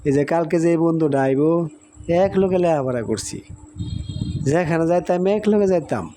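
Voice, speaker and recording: A man's voice: a little high, a little fast, dark tone, slight background noise, lightly acted. A man voicing a cheerful, hospitable village husband in a cartoon, chatty and insistent, with a rural Bangladeshi Bengali accent. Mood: warm, nostalgic. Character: cheerful, hospitable village husband, boastful about his cattle. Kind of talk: amateur cartoon dialogue.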